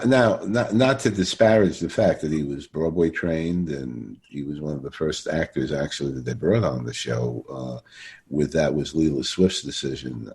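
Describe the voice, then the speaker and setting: A man hosting a podcast interview in an effusive, halting way, rephrasing his questions as he goes. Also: very deep voice, darkish tone. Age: middle-aged or older. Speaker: podcast host interviewing an actor. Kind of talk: enthusiastic, conversational interviewing.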